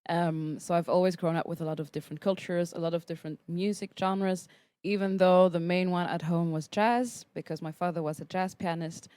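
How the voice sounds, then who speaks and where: A woman's voice: on the low side, fairly even intonation, a little fast, warm tone, no room sound. Giggly young woman telling her story. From Switzerland. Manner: laughs often between phrases, with many ums and restarts. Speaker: guest musician. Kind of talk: casual interview storytelling. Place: podcast studio.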